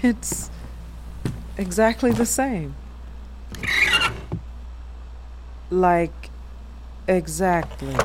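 A woman's voice: on the low side, lively intonation, drawn-out words, heavily acted. A woman with an American accent playing a guarded, sardonic adult daughter in a naturalistic audio drama, speaking with dry hesitance. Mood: taken aback. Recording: noticeable background noise.

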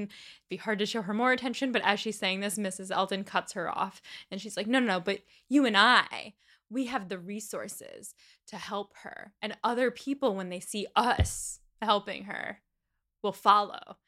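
Woman co-host, bright and forceful, chatty plot recap. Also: medium pitch, normal speed. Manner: chatty, retells scenes with lots of "like" and quoted asides. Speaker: podcast co-host. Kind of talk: casual chat retelling a novel's plot.

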